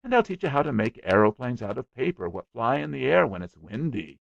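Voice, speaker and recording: A man's voice: mid-range voice, very animated, fairly quick, creaky, dry recording, highs cut off, voice pushed up. A man voicing a stubborn, boastful small boy who chatters eagerly. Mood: eager boasting.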